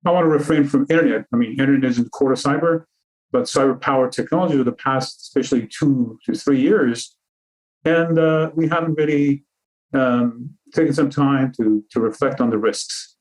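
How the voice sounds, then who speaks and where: A man from Iran speaks as a guest on a video call. His voice sits in a middle register, and he explains things in a measured way, laying out terms one after another. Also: medium pitch, a little mellow, poor audio. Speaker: cybersecurity consultant guest. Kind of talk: interview answers over video call.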